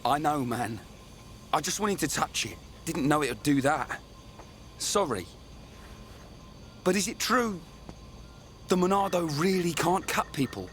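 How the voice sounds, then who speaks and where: A man's voice: fairly high pitch, animated, unhurried, pauses often, a little hiss or hum. A man with an English accent voicing a brash, good-natured young soldier with blunt, colloquial speech in a video game. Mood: sheepish. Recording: orchestral music behind the voice.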